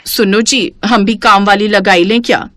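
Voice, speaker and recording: A woman's voice: mid-range voice, steady tone, moderate pace, a bit bright, no echo, clean studio sound, lightly acted. A woman voicing a lazy middle-aged mother-in-law in a cartoon story, bossy and full of grumbling excuses. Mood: complaining. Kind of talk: Hindi cartoon moral story acting.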